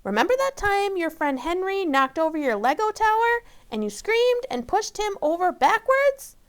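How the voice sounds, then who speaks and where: A woman voicing a bossy, excitable little girl in a children's storybook, high, loud and indignant. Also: normal speed. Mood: boastful. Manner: dramatic, indignant.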